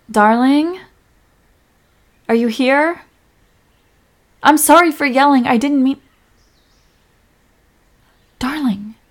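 A woman's voice: high voice, husky, heavily acted. A woman acting a hurt, loving girlfriend in a romantic audio roleplay, speaking emotionally and directly to her partner. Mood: apologetic, gentle. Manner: emotional, swinging from sharp reproach to tender whispers. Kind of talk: scripted romantic audio roleplay, addressing the listener.

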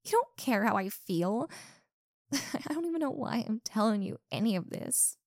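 A young woman playing a rude, insecure popular college girl in a one-sided roleplay, sharp-tongued and defensive, stumbling over her words. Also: fairly high pitch, big pitch swings, moderate pace, dry recording, clean studio sound. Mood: soft, sheepish. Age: young adult.

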